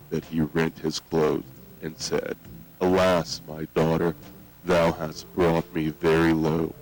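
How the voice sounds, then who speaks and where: A man playing a solemn old grandfather and cult patriarch, deliberately sermonizing to a child in a horror story tape. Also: rumbling low, a little mellow, low-quality recording.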